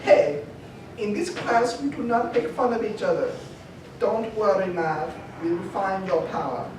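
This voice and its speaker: A woman's voice: medium pitch, unhurried, close to an everyday voice. A woman playing a patient but exasperated teacher of young superhero children in a comedic script read live. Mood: coaxing, patient. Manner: coaxing, wearily exasperated. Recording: echoey panel room, distant mic, faint noise.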